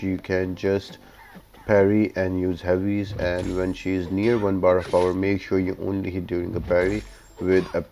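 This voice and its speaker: A man with a low-pitched voice narrates a video game guide. He talks casually and explains each tactic step by step, in the plain manner of a walkthrough host. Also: warm tone.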